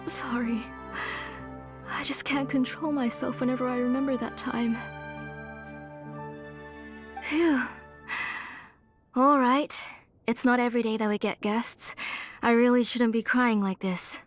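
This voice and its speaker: A woman's voice: a little high, fairly even intonation, unhurried, pauses often, soft background music, close to an everyday voice. A woman voicing an older, doting mother in a video game, with a fussing, motherly manner. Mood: composed, hospitable. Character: grieving, doting older mother, retired forger.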